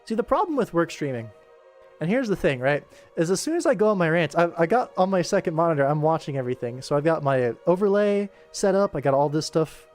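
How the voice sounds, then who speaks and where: Casting: young man, streamer-animator, lightly higher register, loose chatty work-along delivery over background music. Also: expressive, fast talker. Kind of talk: casual rambling livestream commentary while working.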